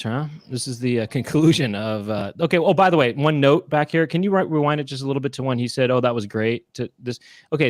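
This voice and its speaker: A man's voice: medium pitch, no echo. Male co-host, thirties, mid-range voice, rambling casual talker, dry close home recording. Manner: rambling, chatty, self-correcting. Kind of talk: casual live-stream chat. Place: small room at home. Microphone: handheld mic with headphones.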